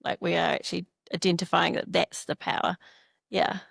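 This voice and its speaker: A woman's voice: fairly low pitch, little pitch movement, fairly quick, gravelly, fairly bright tone, no room sound, clean studio sound. A woman from New Zealand with a New Zealand accent, co-founder of a startup, chatting in a casual interview, full of "you know" and "like".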